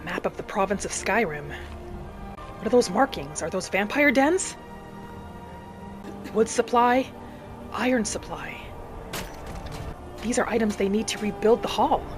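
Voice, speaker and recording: A woman's voice: medium pitch, lightly acted. Woman voicing a vengeful young vampire hunter, dramatic. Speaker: roleplay gamer narrating in character. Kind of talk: dramatic in-character game narration. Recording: music behind the voice. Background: game music.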